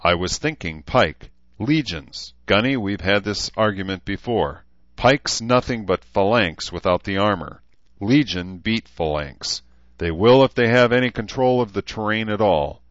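Man voicing an earnest, measured leader. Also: lowish voice, expressive, fairly bright tone, no room sound, dull audio.

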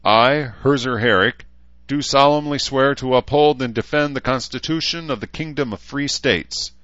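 A man's voice: mid-range voice, moderate pace, a bit bright, no room sound, dull audio, voice pushed up, lightly acted. A man voicing an earnest, solemn young soldier in an audiobook, speaking formally as if under oath. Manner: formal oath-taking delivery.

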